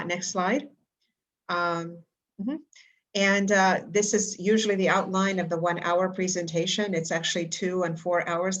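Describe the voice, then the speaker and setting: Older woman, halting slide talk. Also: on the low side. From Egypt. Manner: halting, many fillers and restarts. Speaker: public speaker at a school board meeting. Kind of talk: prepared public comment with slides.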